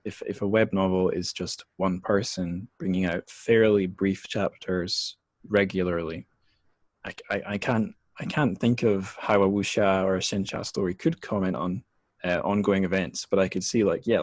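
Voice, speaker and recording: A man's voice: low-pitched, moderate pace, dry recording. A man hosting a casual book discussion podcast, thinking aloud in a hesitant, rambling way. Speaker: podcast host.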